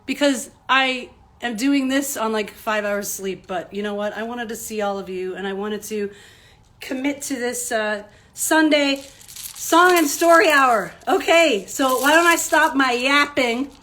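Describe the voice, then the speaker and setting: Children's host, woman, high-pitched, unhurried, slightly groggy, chatty. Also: fairly bright tone. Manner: chatty and self-deprecating. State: groggy from little sleep. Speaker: children's entertainer. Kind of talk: live-streamed story hour chat.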